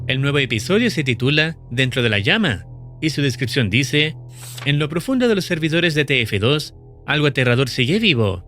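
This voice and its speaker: A man narrating in a steady, measured scripted voice-over, explaining the plot of a horror video series. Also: on the high side. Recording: voice-over with faint music behind.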